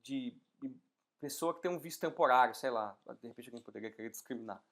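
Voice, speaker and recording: A woman teaching an exam-prep law class, explaining step by step and posing rhetorical questions with lively emphasis. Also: extremely low pitch, quick pace. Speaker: law instructor. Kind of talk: exam-prep legal lecture.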